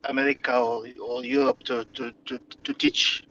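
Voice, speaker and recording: A man's voice: mid-range voice, flat delivery, normal speed, pushed and bright, dull audio, slight background noise. A man from Morocco whose first language is Arabic, speaking hesitantly with many fillers and restarts as an interview guest. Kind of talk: conversational interview.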